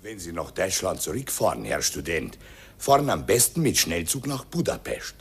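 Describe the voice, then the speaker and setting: Brief: raspy, low Hungarian stationmaster with broken German. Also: male voice, big pitch swings, moderate pace, heavily acted. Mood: advising. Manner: broken German, earnest advice-giving. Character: kindly Hungarian railway stationmaster, fatherly. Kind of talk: 1950s radio play acting.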